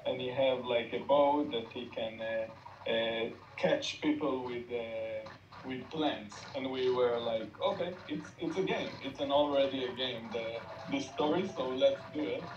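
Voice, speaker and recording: A man speaking as an explains casually in simple, halting phrases. His mid-range voice is echoey on a dull online call, with music clearly playing behind it. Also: mid-range voice. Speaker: game team member.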